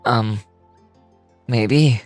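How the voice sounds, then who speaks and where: A woman's voice: a bass voice, drawn-out words, soft background music, pitched below the performer's own voice, exaggerated voice. A woman voicing an earnest, deferential young man in game dialogue, polite and apologetic. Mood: sheepish.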